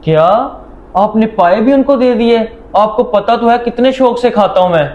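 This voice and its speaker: A man's voice: high-pitched, a little mellow, close to an everyday voice. A man playing a sly but obedient teenage son in a moral-story drama, speaking deferentially on a dull recording. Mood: dismayed. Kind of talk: Urdu moral-story audio drama acting.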